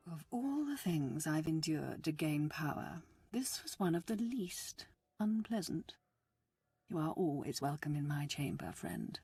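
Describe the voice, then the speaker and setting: An actress playing a scheming, seductive, imperious middle-aged noblewoman in a sci-fi game, with a silky, husky, commanding delivery. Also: sits low, lively intonation, measured pace, dark tone, no echo, full character voice. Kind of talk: video game voice acting.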